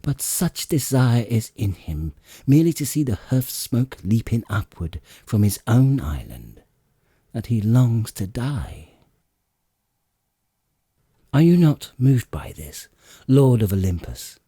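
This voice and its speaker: A man voicing an earnest goddess pleading with her father in an audiobook, reverent and impassioned, in his low husky voice. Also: very animated, slow pace, dark tone, no room sound, clean studio sound, exaggerated voice. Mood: pleading, sorrowful.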